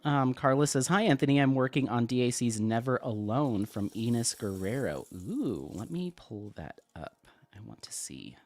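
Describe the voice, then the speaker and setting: A man hosting a casual craft livestream, slightly sniffly, reading viewer comments aloud in a relaxed, friendly way at a desk microphone. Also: mid-range voice, moderate pace, dry recording. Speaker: livestream host. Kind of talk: casual live-stream chat, reading comments. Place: home craft room, at a desk.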